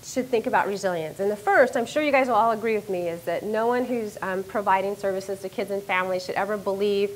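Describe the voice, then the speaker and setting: A middle-aged woman, a university professor, giving an animated, self-deprecating lecture to an audience on a microphone in a lecture room. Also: medium pitch, fast, few pauses, slight background noise, low-quality recording. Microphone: handheld or stand microphone.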